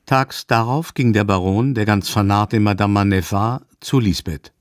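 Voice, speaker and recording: A composed storyteller, voiced by a man, narrating a literary radio play. The voice is low and recorded close and dry. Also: moderate pace, dry recording, natural voice.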